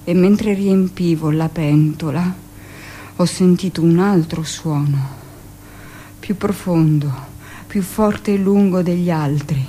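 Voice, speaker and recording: An actress playing a middle-aged village-born midwife in an intimate, expressive theatrical monologue, with dramatic pauses. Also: female voice, fairly low pitch, slight background noise. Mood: reflective, absorbed. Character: 45-year-old village-born midwife, recounting childhood, emotional, earnest.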